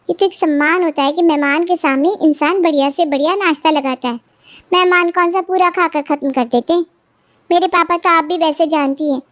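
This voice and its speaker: A woman's voice: very high-pitched, a bit bright, dry recording, clean studio sound. A woman voicing an indignant young daughter-in-law in a cartoon family story, complaining insistently. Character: aggrieved, indignant young daughter-in-law, eager to expose wrongs.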